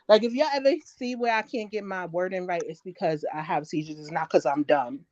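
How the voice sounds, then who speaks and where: A woman's voice: on the low side, a little mellow, no echo. Dull, dry recording of an earnest American woman. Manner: earnest, explaining herself haltingly. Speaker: participant in a group live stream. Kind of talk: casual chat. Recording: dull lo-fi live-stream audio.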